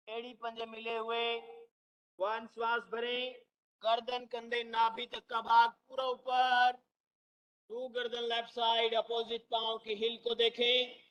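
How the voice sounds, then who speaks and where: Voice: mid-range voice, fairly bright tone. A yoga instructor leading an online class with short, commanding cues and counted holds. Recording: muffled lo-fi online call audio.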